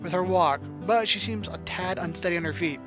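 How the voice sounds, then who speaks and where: A man's voice: sits high, a little fast. A man hosting a gaming video, reading visual novel narration aloud with wry, casual asides. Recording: game music behind the voice. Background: game music.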